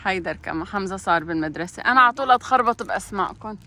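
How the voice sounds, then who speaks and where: A woman's voice: medium pitch, animated, rapid-fire, a little hiss or hum. An older woman, a grandmother, chatting casually with her grandchildren for a family video, teasing and coaxing them along. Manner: warm teasing, coaxing children, often laughing. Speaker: grandmother joining a family vlog.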